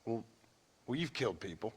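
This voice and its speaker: An actor playing a blunt, weary former outlaw in a video game, speaking tersely with a rural American Western accent. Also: male voice, medium pitch, big pitch swings, brisk, husky. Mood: weary, reflective. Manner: terse, plain-spoken. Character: world-weary male ex-outlaw, blunt and stubborn. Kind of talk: video game cutscene acting.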